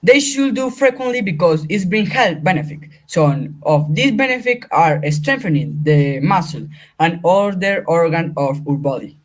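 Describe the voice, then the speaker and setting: Brief: male field reporter, Colombian Spanish accent, high pitch, stiff read-aloud delivery. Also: lively intonation, moderate pace, few pauses, poor audio. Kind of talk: school-project news report.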